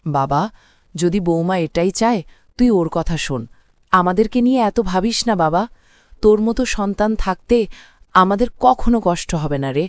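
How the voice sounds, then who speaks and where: Cartoon casting: a woman as gentle elderly mother, mid-low for a female, smooth, motherly, dry recording. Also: animated, moderate pace, no echo, lightly acted. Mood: tender, resigned. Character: gentle, self-sacrificing elderly village mother. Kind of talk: dramatized cartoon voice acting.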